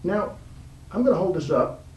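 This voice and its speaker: A man hosting a casual, unscripted interview in a halting, informal way. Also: sits high, very animated, darkish tone. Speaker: interview host. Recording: echoey, distant mic, background room noise.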